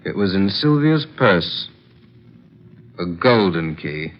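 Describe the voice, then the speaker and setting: Suave, menacing gentleman, low-voiced and very unhurried, icily polite, for a vintage radio thriller. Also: sing-song, heavily acted. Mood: coldly accusing. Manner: formal, measured, icily polite. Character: suave, sinister masked man, coldly polite jilted husband. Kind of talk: old-time radio drama acting. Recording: dull lo-fi vintage radio recording.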